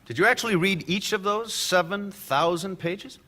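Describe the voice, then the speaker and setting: A male courtroom attorney in a radio drama. His voice is high and sharp, and he questions in a deliberate, pointed, methodical way. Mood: probing, pointed. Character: probing, methodical male defense attorney cross-examining. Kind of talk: radio play acting.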